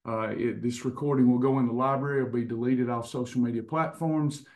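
A hoarse man in his fifties hosting a casual online recipe and wellness class over a webcam, chatty, with frequent restarts. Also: medium pitch, steady tone, fairly quick, darkish tone. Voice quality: slightly hoarse, clears throat. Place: indoors in front of a printed backdrop. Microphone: webcam on a video call.

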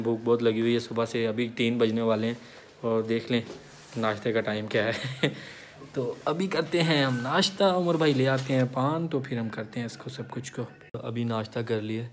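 A man, chatting casually to a phone camera held at arm's length as he vlogs on city streets and in a flat. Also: medium pitch, a little hiss or hum. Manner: chatty, walking and talking to a handheld camera. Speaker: vlogger. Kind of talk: casual vlog chat. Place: city streets outdoors, then a flat indoors.

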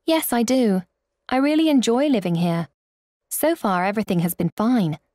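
Sociable, contented female newcomer, played by a woman, lively intonation, textbook audio, dry sound. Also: a little high, normal speed, no echo, lightly acted. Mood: contented, upbeat. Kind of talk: scripted small talk for an English listening exercise.

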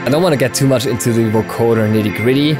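A man in his thirties hosting a software tutorial, explaining conversationally in a small home studio on a close mic. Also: medium pitch, fast, over loud music. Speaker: tutorial host.

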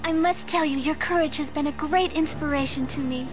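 Woman acting a very high-voiced, earnest young temple captain. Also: fairly quick, over music, lightly acted. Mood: earnest, worried. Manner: formal, earnest, hesitant. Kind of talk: video game cutscene acting.

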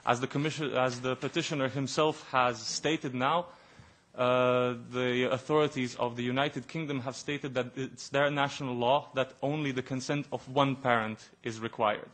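A man in his thirties giving a formal statement as a Commission representative, measured and often pausing on "uh", into a desk microphone in a large committee room. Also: medium pitch, fairly even intonation, fairly bright tone. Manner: measured, with frequent hesitant "uh" fillers.

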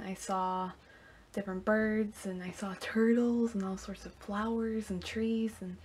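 A woman's voice: mid-range voice, darkish tone, husky. A young woman chatting casually and upbeat to her viewers, recorded on a camera in a small room at home. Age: young adult. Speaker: vlogger. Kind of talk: casual vlog chat to viewers. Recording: noticeable background noise.

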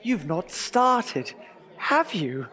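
Fretful tudor court songwriter, exasperated, slow dramatic delivery, very up and down, audio comedy. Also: male voice, high-pitched, big pitch swings, sharp tone, a faint crowd, heavily acted. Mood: exasperated, anxious. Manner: theatrical, exasperated, drawn-out emphasis. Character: anxious, exasperated Tudor court song lyricist, male. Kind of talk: comic audio drama acting.

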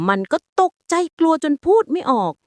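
A woman reading a bedtime story aloud in a slow, soothing way. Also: mellow, no room sound.

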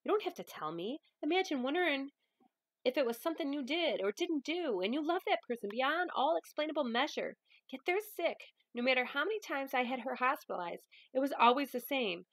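A stern but loving grandmother, acted by a woman reading from a novel, speaks in a high voice. She is emphatic and folksy, handing out tough love with firm conviction, recorded dry. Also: a bit bright, no room sound.

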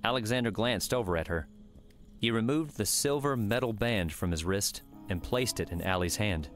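A man's voice: fairly low pitch, expressive, moderate pace, soft background music. A man reading scripted fiction as the narrator of an audiobook.

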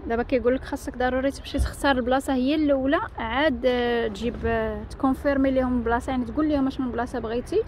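A woman's voice: high-pitched, steady tone, racing, few pauses, darkish tone, a little hiss or hum. A woman with a Moroccan Arabic accent chatting casually to viewers as a family vlogger. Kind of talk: casual vlog talk to viewers. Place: in a car and outdoors at a campsite. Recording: music over outdoor and car vlog audio.

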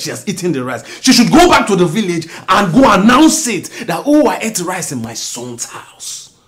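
An actor with a Nigerian accent playing a bitter self-made husband who rants at his wife about his upbringing, swinging from low mutters to shouts. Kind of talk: melodrama acting.

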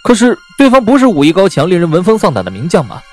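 Very high-pitched, cocky young warrior. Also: male voice, wildly swooping pitch, fast talker, a little mellow, faint music behind, full character voice. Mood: doubtful. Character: cocky, eager young male warrior, boyish and stubborn. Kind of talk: period audio drama acting.

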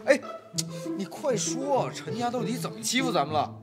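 A man's voice: medium pitch, very animated, normal speed, close to an everyday voice. A man playing a harried lord of a household who is exasperated, scolding and flustered, in an audio drama. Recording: background music under the voice.

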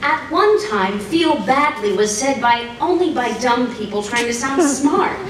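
A young woman reads in a prim, scolding tone with a high, bright voice. Her crisp delivery carries from a distant mic. A heavy hall echo and room hum surround her. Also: expressive, unhurried. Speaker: stage performer reading letters. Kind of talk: dramatic reading of letters on stage. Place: large event studio stage with audience. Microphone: stand mic, recorded from far camera.

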